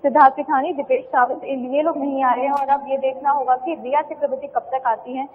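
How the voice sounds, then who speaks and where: Woman reporter doing a live update, high pitched, sounds tinny like a phone line. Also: fairly even intonation, fairly quick. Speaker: field news reporter. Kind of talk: live on-location news report. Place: outside a guest house. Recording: thin phone-like live feed.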